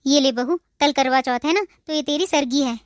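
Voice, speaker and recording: A woman voicing a caring middle-aged mother-in-law in a cartoon, speaking in a warm, reassuring, motherly way. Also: high voice, moderate pace, soft background music, lightly acted. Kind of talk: Hindi cartoon dubbing.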